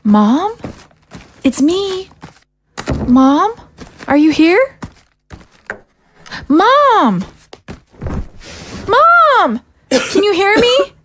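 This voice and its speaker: A woman playing an earnest, frightened teenage trainee in an audio drama, pleading in a hushed, urgent way. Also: very high voice, very animated, extremely slow, long pauses, slight background noise, exaggerated voice. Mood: anxious calling.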